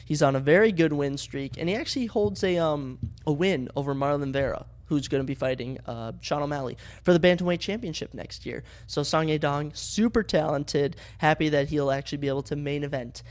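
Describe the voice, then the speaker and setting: A young man with a slightly higher voice hosts his own sports podcast, talking loosely and rambling with lots of fillers. His nose is stuffed up from a cold, which gives every line a blocked, congested sound. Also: a little fast, hardly any pauses. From Minnesota. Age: young adult. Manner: rambling, talkative, many fillers and restarts. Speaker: podcast host. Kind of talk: casual solo sports podcast.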